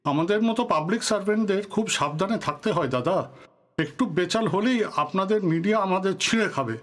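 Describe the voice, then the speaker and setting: Radio play politician, male actor: high male voice, courteous but guarded, authoritative, a touch of reproach. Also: expressive, fast, a bit bright. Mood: guarded, reproachful. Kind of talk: Bengali audio drama acting.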